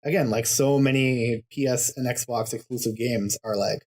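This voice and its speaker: A man talking as a podcast guest in casual chat, halting and restarting, laughing through his points. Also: mid-range voice, steady tone, a little mellow, slightly rough.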